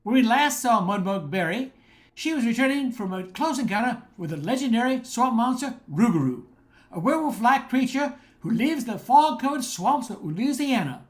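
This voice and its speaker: A woman's voice: fairly high pitch, animated, moderate pace. An older woman reading scripted narration as the announcer of a radio play.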